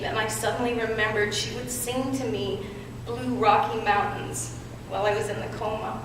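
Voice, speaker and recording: A woman giving a prepared, earnest speech at an awards ceremony, telling her own story in a measured way. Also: on the high side. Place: large hall with audience. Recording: echoey hall from far off, background noise.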